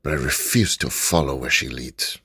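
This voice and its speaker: A man's voice: very deep voice, normal speed, pushed and bright, breathy edge, dry recording, studio quality, heavily acted. A man playing a wary, suspicious expedition member in a radio drama, talking curtly. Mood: distrustful. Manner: suspicious, curt.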